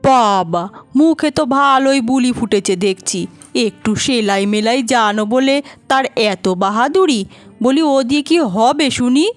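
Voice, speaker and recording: Casting: actress as older village mother-in-law for cartoon, high voice, scolding, sarcastic, contemptuous; faint music underneath. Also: unhurried, no room sound, natural voice. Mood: scornful taunting. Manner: taunting, scolding, sarcastic rhetorical questions. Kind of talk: cartoon voice acting.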